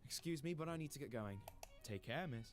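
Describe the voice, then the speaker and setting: A man voicing an eccentric, gentlemanly teacher in a visual novel, genteel and fussy in manner. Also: medium pitch, lively intonation, a little fast, over music, close to an everyday voice. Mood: polite. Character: eccentric, gentlemanly male teacher doting on his cat.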